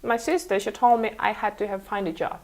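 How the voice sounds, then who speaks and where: A young woman from Mongolia with a Mongolian accent, answering casually in halting, self-correcting non-native English. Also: mid-range voice, steady tone, darkish tone. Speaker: interview guest, international school teacher.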